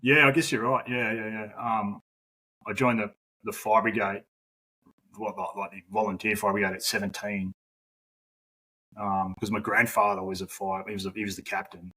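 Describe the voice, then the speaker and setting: Husky, forceful Australian man in his forties. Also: on the low side, little pitch movement, normal speed, low-quality recording. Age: 40s. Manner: rambling, many fillers, casual swearing. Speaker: podcast guest. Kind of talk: casual conversational interview.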